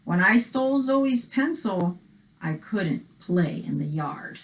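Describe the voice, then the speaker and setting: A woman co-hosting a children's read-aloud, telling the story in an animated, reactive way and chatting casually. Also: mid-range voice, slow, low-quality recording.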